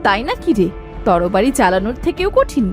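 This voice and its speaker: A woman voicing a cocky, dismissive young elder sister in an animated fairy tale. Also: medium pitch, a little fast, soft background music, lightly acted.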